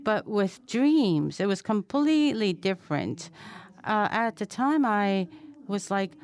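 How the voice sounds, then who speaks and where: A woman interpreting and moderating at a press conference, measured and careful. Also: medium pitch, big pitch swings, a little mellow.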